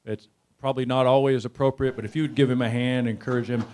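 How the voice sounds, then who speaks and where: A man speaking as a pastor at a church service, measured and deliberate as he reads and introduces. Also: mid-range voice, little pitch movement, background noise. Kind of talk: scripture reading and church introduction.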